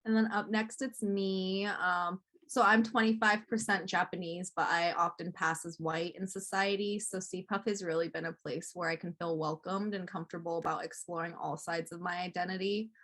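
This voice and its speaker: Young woman, slightly lower pitch, student host, presenting and introducing others. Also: steady tone, normal speed, few pauses, fairly bright tone. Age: young adult. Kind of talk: student presentation, introducing speakers and reading quotes aloud.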